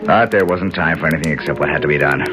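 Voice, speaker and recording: A man's voice: very deep voice, fast. An actor playing a wry, scheming tough-guy hero in a 1970s radio drama, teasing and slangy. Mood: tense narrating. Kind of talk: 1970s radio drama acting with first-person narration. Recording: 1970s radio broadcast, music behind.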